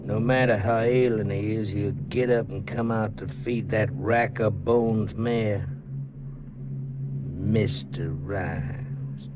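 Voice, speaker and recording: A man's voice: on the low side, fairly even intonation, slow pace. An actor playing a jealous, murderous backwoods man with a rural Southern accent, brooding and menacing in 1940s radio style. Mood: brooding spite. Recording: noisy, dull old 1940s radio recording.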